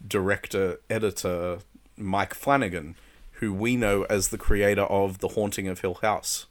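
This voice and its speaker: A man's voice: sits low, steady tone, unhurried. A man co-hosting a casual film chat, explaining films with enthusiasm. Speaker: podcast co-host, film reviewer.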